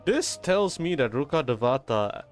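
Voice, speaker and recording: A young male game streamer with a mid-pitched voice. He reacts casually and jokingly in slangy, sweary, stammering talk, with faint music behind him. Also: sing-song, moderate pace.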